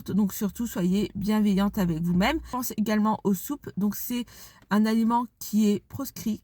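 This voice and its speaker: A woman's voice: mid-range voice, warm tone, no echo. A woman with a blocked nose from a cold, chatting casually in a vlog with frequent fillers. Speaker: vlogger. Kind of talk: casual vlog talk to camera.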